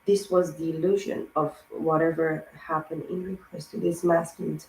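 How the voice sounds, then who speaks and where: A woman giving a rambling spiritual tarot reading, hoarse and losing her voice, piling up words in long lists. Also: low voice, fairly even intonation, normal speed, a little mellow, reverberant, slight background noise, poor audio. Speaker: tarot reader.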